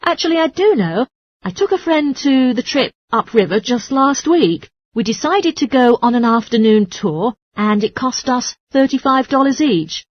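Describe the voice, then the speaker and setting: A woman's voice: high-pitched, animated, moderate pace, no echo. A woman playing a polite, helpful transport information clerk who explains things in a scripted exercise dialogue. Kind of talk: scripted listening-test dialogue.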